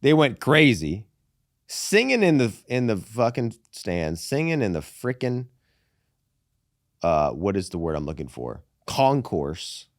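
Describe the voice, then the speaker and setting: Lively, profane podcast host. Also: male voice, lowish voice, unhurried, no echo, studio quality. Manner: animated, profane, says "brother" and "dude". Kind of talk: casual sports chat.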